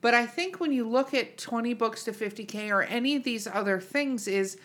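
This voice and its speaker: A woman's voice: on the high side, normal speed, a bit bright. A woman hosting a podcast in casual chat, irreverent and swearing freely. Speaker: podcast host.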